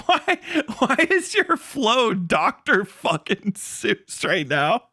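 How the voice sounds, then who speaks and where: A man doing a casual livestream reaction in an animated, incredulous and profane way, breaking easily into laughter. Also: sits high, takes it slowly. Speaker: livestream host. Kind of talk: casual livestream reaction, reading messages aloud with profane commentary.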